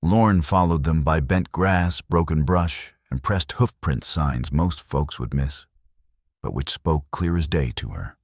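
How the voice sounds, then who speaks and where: Very deep-voiced man narrating a western. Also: unhurried, no room sound, clean studio sound. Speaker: storyteller narrator. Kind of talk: scripted dramatic western narration.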